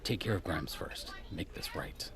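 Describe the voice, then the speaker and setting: A man playing a gruff, world-weary cop and loyal partner in a noir audio drama, with a gravelly voice. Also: normal speed, crowd noise, natural voice. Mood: grim warning.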